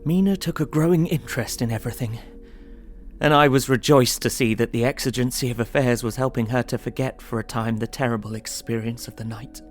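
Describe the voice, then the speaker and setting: A man's voice: medium pitch, a little fast, faint music behind, close to an everyday voice. An actor playing an earnest, anxious young solicitor, narrating his diary. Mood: anxious, sombre.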